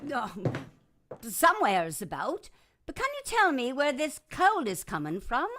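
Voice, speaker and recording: A woman's voice: sits high, huge pitch swings, unhurried, fairly bright tone, a little hiss or hum, exaggerated voice. A woman acting in a radio drama as a chatty, mocking, good-humored country farmer's wife.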